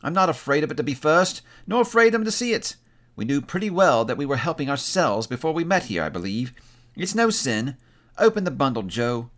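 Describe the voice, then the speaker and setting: A man's voice: fairly high pitch, sing-song, a little fast, voice pushed up. A man voicing a brazen, defiant, hard-hearted woman thief in a storybook reading. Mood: scornful, bold. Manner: loud, challenging, working-class plain speech.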